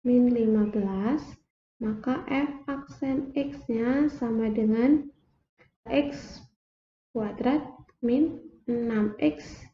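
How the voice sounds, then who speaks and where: A young woman explaining a math lesson slowly, step by step, like a teacher at a board. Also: fairly high pitch, a little hiss or hum. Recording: echoey room, dull lo-fi sound.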